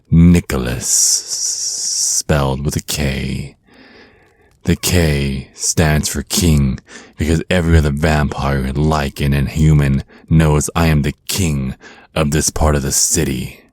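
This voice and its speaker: Male actor as menacing, teasing vampire naga overlord for ASMR roleplay: extremely deep, unhurried, flirtatiously smug. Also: darkish tone, no room sound, exaggerated voice. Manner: smug, teasing, slow deliberate menace with drawn-out words.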